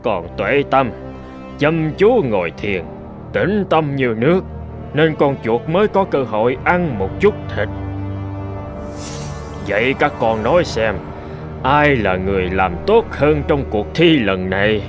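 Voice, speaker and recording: A solemn old abbot teaches his disciples in a cartoon folk tale. His speech is measured yet widely varied in pitch, with clear music underneath. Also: sing-song. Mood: solemn, teaching. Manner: measured, instructive. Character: solemn old bearded male Buddhist abbot. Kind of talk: animated folk tale dialogue.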